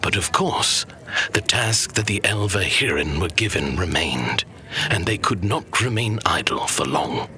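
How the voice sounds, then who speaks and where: Man playing a hushed, slow-speaking wise master storyteller. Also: low voice, expressive, a little hiss or hum, full character voice. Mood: solemn, reverent. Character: wise old male master telling legends to a pupil. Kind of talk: dramatic fantasy storytelling.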